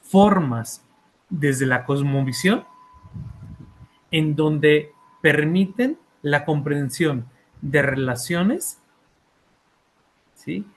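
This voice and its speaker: Hesitant Mexican man, slow academic talk. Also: fairly high pitch, animated. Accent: Mexican Spanish. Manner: hesitant, frequent fillers and repeated words, checks in with "¿sí?". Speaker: author and therapist presenting a book.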